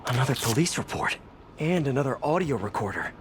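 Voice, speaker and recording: A man's voice: medium pitch, lively intonation, brisk, background noise, lightly acted. An actor playing a young, quippy superhero investigator with an American accent, earnest and wry as he thinks aloud in a video game. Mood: wry, musing. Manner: conversational, wry, muses to himself. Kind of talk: video game voice acting, monologue.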